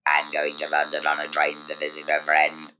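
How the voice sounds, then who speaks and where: Buzzing, very deep monotone electrolarynx man. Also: moderate pace, highs cut off. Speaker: electrolarynx user demonstrating. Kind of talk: instructional demonstration. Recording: clearly noisy, with steady device buzz.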